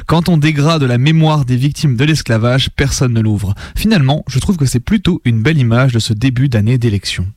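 A male radio host with a French accent from France delivers scripted editorials in a sardonic, committed way. His voice sits at a middling pitch, recorded very dry and close in a studio. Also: animated, brisk, few pauses, a little mellow, no echo.

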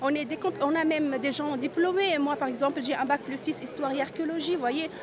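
High-voiced woman in her forties outdoors. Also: brisk. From Tunisia. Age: 40s. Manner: earnest, repeats words and restarts often. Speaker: undocumented migrant interviewee. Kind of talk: street interview at a march. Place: outdoors at a street march. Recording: crowd noise outdoors. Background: crowd.

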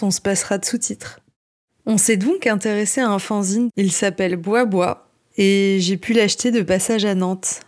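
A woman's voice: mid-range voice, a little mellow. A woman co-hosting a podcast, presenting from a script with casual asides. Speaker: podcast co-host. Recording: music playing behind the voice. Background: music.

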